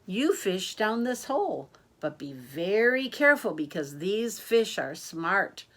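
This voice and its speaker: A woman voicing a protective, cautious father in a children's storybook, speaking deliberately and emphatically. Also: mid-range voice, sing-song, fairly bright tone, exaggerated voice. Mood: firm, cautioning.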